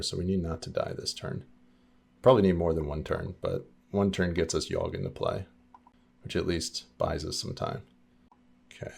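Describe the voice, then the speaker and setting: A man with a very deep voice full of fry streams a card game with music behind him. He sounds a little scratchy, as if his voice is starting to go. His commentary is casual and deliberate, weighing options out loud. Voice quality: slightly scratchy. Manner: deliberate, reasoning through options aloud. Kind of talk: casual live gameplay commentary, thinking aloud.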